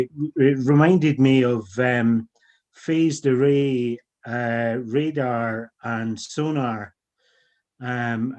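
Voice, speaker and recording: Audience guy on a call, slow and halting, lots of ums. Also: mid-range voice. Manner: hesitant, many ums. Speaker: audience member.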